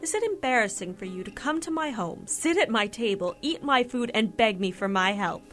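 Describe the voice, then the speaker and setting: A woman's voice: on the high side, lively intonation, moderate pace, a bit bright, soft background music. A woman playing a proud, impatient and disdainful figure in an audio drama, haughty and cutting in manner. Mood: mocking, demanding. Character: proud, impatient, disdainful Japanese mutant acquaintance. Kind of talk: scripted audio drama acting.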